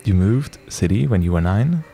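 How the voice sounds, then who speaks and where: A man's voice: on the low side, expressive, moderate pace, warm tone, faint music behind. A man interviewing a guest, asking curious questions and nodding along with short replies. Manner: curious, brief prompts and frequent "mm-hmm". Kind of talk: casual interview.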